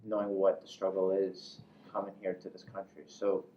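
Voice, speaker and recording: A man's voice: deep voice, completely flat delivery, normal speed, warm tone, distant mic. A man interviewing a guest in a casual, rambling way full of filler phrases. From United States. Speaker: interviewer, host. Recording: echoey room, muffled, faint noise.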